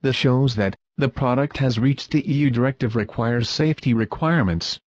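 A man's voice: medium pitch, a little mellow, no room sound. A man reading a scripted promotional voice-over for a factory, in stiff, awkward English. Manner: stiff, reads awkward non-native English text. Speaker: corporate video narrator. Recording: dull, lo-fi, dry recording.

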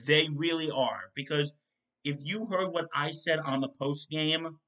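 A young man hosting a solo football postgame recap from his home kitchen, reading stat lines in quick lists and correcting himself as he goes. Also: fairly high pitch, sharp tone, low-quality recording. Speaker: sports show host. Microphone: desk mic in front of a webcam.

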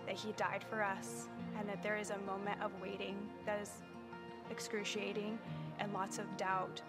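Young woman, sincere faith testimony, flat even delivery, echoing hall. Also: medium pitch, gravelly. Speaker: church member sharing testimony. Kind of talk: reflective personal testimony. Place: large bright indoor hall, seated interview. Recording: reverberant hall with soft music behind.

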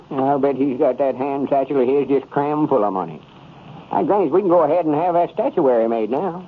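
An actor playing a vain, stubborn old country storekeeper with a folksy rural Southern drawl in a 1930s radio comedy. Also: male voice, mid-range voice, expressive, a little fast, breathy edge. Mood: eager, huffy. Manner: folksy drawl, self-important. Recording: tinny noisy old radio broadcast.

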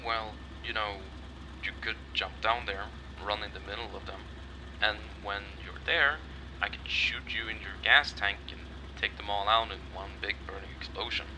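A man voicing a sardonic, bored masked soldier in a comic dub, with a dry deadpan manner. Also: on the low side, moderate pace, natural voice. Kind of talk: amateur comic dub voice acting. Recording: track with clear background noise.

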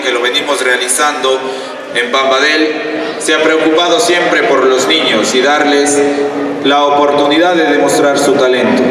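An Ecuadorian man in his thirties gives a formal opening speech as championship president through a PA. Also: fairly high pitch. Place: covered outdoor sports court. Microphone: stand microphone through loudspeakers. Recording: very echoey, noisy PA, distant.

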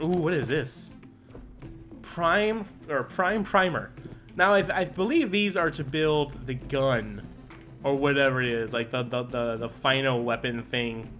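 An excitable young man narrating his gameplay in a higher-than-usual voice that swoops all over the place. He rambles with fillers and bursts into whoops, while loud game music and gunfire play behind him. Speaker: gaming channel host. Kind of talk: casual let's play commentary.

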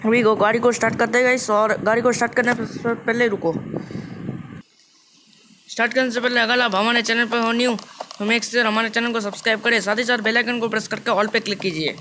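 High-pitched man commenting on gameplay, noisy. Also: little pitch movement, fast. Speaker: gaming channel host. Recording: noisy, vehicle engine sound behind voice.

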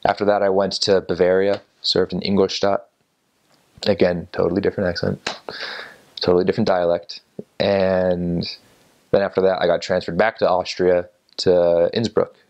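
A man in his twenties, seated indoors and talking to an off-camera interviewer in a relaxed, thoughtful way, with many fillers and restarts. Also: low voice, moderate pace, muffled recording. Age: 20s. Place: indoor room by a window, seated in an armchair.

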